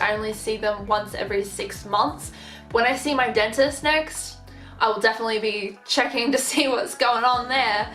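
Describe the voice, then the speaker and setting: A young woman talks frankly and casually to camera, filling her speech with "like" and restarts. Her voice sits a little high. The room rings with echo, and soft music plays underneath. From Australia. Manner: chatty, frank, with many fillers. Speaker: vlogger.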